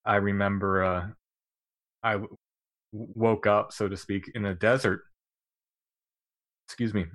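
Man, middle-aged, deep-ish voice, dry sound; halting interview storyteller. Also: little pitch movement, a bit bright, no echo. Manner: halting, many restarts and fillers, occasional laughs. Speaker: guest recounting experiences.

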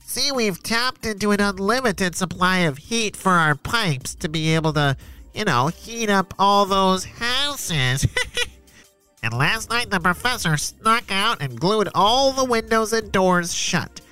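A man doing a slow, gloating henchman. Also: sits high, animated, sharp tone, clear, resonant tone, soft background music, voice pushed up, full character voice, poor audio.

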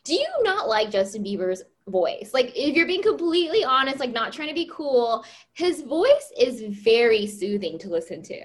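A woman's voice: fairly high pitch, very animated, moderate pace. A woman in her mid-thirties co-hosting a casual chat, bubbly and gushing, often giggling. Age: 30s.

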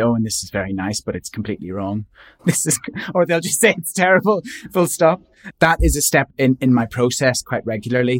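Measured man from Northern Ireland. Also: fairly low pitch. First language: English. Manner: measured, reflective, with frequent restarts. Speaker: interviewed translator. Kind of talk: thoughtful interview answers.

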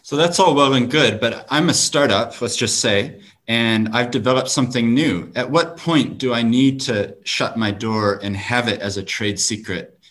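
A man's voice: mid-range voice, moderate pace, few pauses, a bit bright. A man from New Zealand who works as a lawyer, hosting an online panel and asking questions in a friendly way with fillers. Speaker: host and lawyer.

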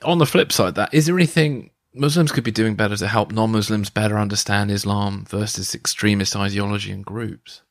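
A man's voice: lowish voice, steady tone, a little fast, hardly any pauses, dry recording, studio quality. A man hosting a conversational interview, putting questions to his guest. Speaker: interviewer, host.

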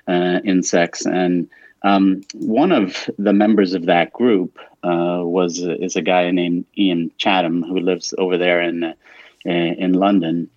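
Deep-voiced middle-aged man, dry muffled sound. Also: dry recording. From Latin America. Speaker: podcast guest. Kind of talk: casual conversational storytelling. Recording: dull, muffled band-limited audio.

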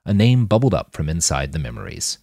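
A man reading a scripted story aloud as an expressive audiobook-style narrator. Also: sits low, brisk, creaky, husky, dry recording, clean studio sound. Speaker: author reading own fiction podcast.

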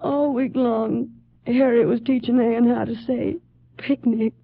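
A woman playing a distraught, newly widowed mother in a 1950s radio drama, halting and pleading, near tears. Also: fairly high pitch, fairly even intonation, gravelly, no echo. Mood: grieving, distraught. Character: grief-stricken newly widowed mother in her forties. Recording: thin phone-like vintage radio sound.

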